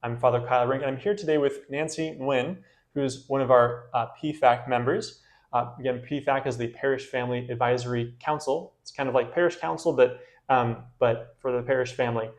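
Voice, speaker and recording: A man's voice: medium pitch, steady tone, brisk. A man, a parish priest, hosting a casual interview, friendly and hesitant with many fillers.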